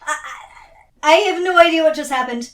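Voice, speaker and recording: Giggly lady playing a tabletop rpg, really high voice, kinda far off in an echoey room. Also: a little fast, fairly bright tone. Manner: giggly, often breaking into laughter. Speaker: tabletop game player. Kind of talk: improvised tabletop roleplay chat. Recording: roomy, distant mic.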